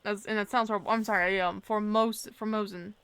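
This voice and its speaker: A woman's voice: medium pitch, steady tone, brisk, fairly bright tone, clean tone, dry recording. A young woman casually commenting as she plays a mystery game, thinking aloud and working through her guesses. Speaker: let's play streamer.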